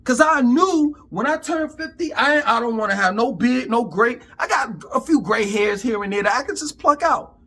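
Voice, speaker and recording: A man's voice: very high voice, lively intonation, normal speed, hardly any pauses, pushed and bright. A man in his fifties giving an emphatic, profane, preachy rant to camera in street talk. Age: 50s. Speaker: vlogger.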